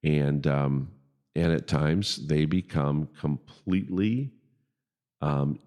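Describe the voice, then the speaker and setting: A man's voice: extremely low pitch, slow, a little mellow. A middle-aged man with a big voice, a pastor hosting a casual podcast chat and talking emphatically, often checking in with "okay?".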